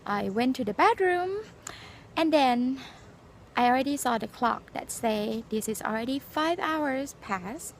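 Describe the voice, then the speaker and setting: Forties Thai woman with a Thai accent, English her second language; high, earnest, halting vlog delivery. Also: animated, a little hiss or hum. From Thailand. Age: 40s. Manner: earnest, halting, frequent fillers and restarts. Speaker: nurse sharing exam experience. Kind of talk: casual personal vlog, storytelling and advice.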